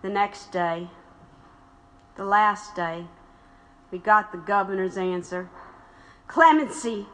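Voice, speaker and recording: An actress playing a grieving, defiant wife of a condemned radical, narrating with bitter reflection. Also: female voice, mid-range voice, takes it slowly, pauses often, fairly bright tone. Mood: reflective. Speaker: narrator and widow of a condemned man. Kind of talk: audio drama narration and acting.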